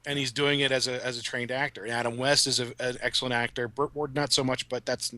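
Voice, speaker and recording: A man talks casually as a podcast guest, with a crisp, bright edge to his voice. He is articulate and enthusiastic, like a writer discussing his work. The sound is very dry, with no room around it. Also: mid-range voice, little pitch movement, fast, no echo. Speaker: guest, writer of audio dramas.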